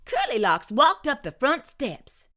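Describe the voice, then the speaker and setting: A woman hosting a story time and reading a picture book aloud, expressive and sing-song. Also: sits high, measured pace. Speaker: storyteller host.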